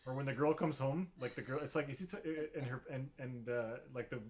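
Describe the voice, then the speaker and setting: A man's voice: medium pitch, fairly quick. A man narrating a tabletop game as game master, rambling with many fillers and restarts.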